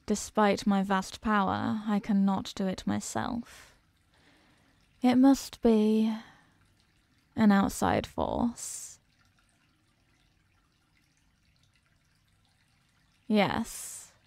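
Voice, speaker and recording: A woman acting a slow, crackling, imperious eldritch horror. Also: mid-range voice, expressive, gravelly, darkish tone, no echo. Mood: commanding. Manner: slow, deliberate, condescending, commanding. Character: imprisoned ancient female eldritch being, imperious, possessive, seductively menacing. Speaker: audio roleplay performer. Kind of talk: scripted ASMR horror roleplay.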